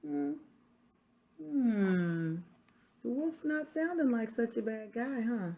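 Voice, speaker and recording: A woman teacher giving quiet conversational asides during a read-aloud and putting questions to her listeners. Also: a little high, lively intonation, slow pace, vocal fry, a little hiss or hum. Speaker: sixth grade teacher.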